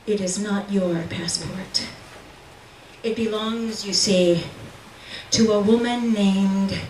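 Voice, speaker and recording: A woman reading literary fiction aloud at a live reading, with measured, deliberate delivery and dramatic pauses. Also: mid-range voice, a little mellow. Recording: roomy, distant mic with faint noise.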